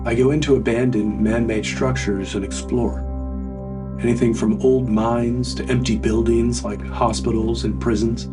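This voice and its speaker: A man narrates a scripted horror story in the first person. His voice is medium in pitch and sits a little way off from the mic, with music clearly playing behind it. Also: recorded from a distance.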